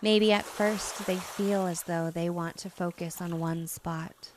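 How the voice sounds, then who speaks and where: A woman hypnotist gently narrating a guided relaxation, soothing and unhurried, with long pauses between phrases. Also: fairly low pitch, fairly even intonation, noisy background.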